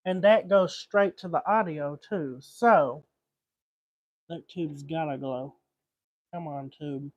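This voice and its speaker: A man's voice: on the high side, slow pace, long pauses, darkish tone, no echo, muffled recording. A man thinking aloud in short muttered fragments, giving casual live commentary as he does repair work. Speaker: livestream host repairing a vintage radio.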